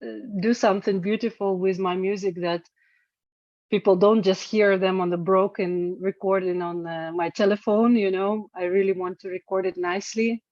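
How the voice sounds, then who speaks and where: A woman talks through her thoughts slowly and reflectively, pausing to find the right words. The recording is dry with no room sound and has a dull, lo-fi tone. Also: medium pitch, steady tone, darkish tone, no echo. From Eastern Europe. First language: Russian. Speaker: guest, singer-songwriter. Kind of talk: casual interview talk.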